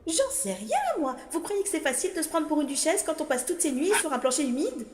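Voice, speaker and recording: A woman voicing a spirited, blunt young orphan in an animated film fandub, speaking directly and earnestly. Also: high voice, fast talker. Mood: hesitant hope. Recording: echoey reverb with film music.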